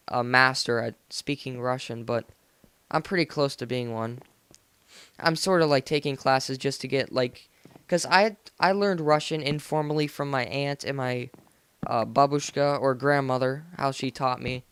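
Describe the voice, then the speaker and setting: A man's voice: mid-range voice, fairly bright tone, clear, resonant tone. A teenage American male chatting casually as he narrates a gaming video, rambling in an informal, slangy way. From United States. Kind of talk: casual game commentary. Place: voice-over recorded indoors after gameplay.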